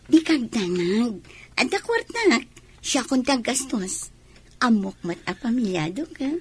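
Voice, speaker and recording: A woman's voice: on the high side, sing-song, unhurried, breathy edge, full character voice. A woman playing a bossy, coaxing mistress of a married man in an Ilocano audio drama, with an Ilocano Filipino accent.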